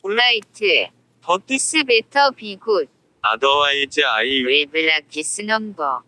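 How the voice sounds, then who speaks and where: A woman's voice: low voice, very animated, slow pace, sharp tone, no echo, voice pushed down, full character voice. A guarded male dictator as a woman puts him on for a homemade audio drama. He is curt and faintly threatening.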